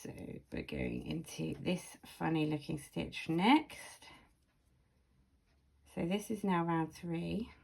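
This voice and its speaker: A woman with a slightly croaky voice giving casual, step-by-step craft instruction as a tutorial host, speaking carefully and clearly into a built-in microphone. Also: fairly low pitch, wildly swooping pitch, slow pace. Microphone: camera or phone's built-in microphone, no external mic.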